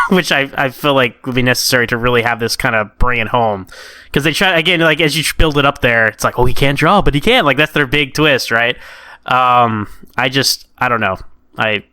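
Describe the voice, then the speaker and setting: American male co-host, crisp and bright, casual discussion, very dry sound. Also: medium pitch, no room sound. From United States. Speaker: podcast co-host.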